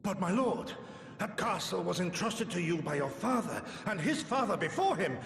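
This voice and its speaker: An impassioned, high-pitched loyal retainer. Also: male voice, expressive, moderate pace, gravelly, fairly bright tone, exaggerated voice. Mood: distraught, pleading. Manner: formal, impassioned, protesting. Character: anguished loyal male retainer pleading with his lord. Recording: roomy, long reverb, faint music.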